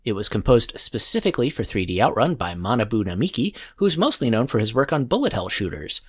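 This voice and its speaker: Guy hosting a music podcast, kinda husky, pitch jumps around a lot, playful scripted delivery. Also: big pitch swings, fast. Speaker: podcast host. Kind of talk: scripted music show narration.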